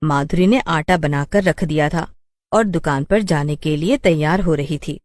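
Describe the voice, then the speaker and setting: A woman's voice: low-pitched, unhurried, a little mellow, dry recording, clean studio sound. A woman narrator telling a scripted story in a storytelling style.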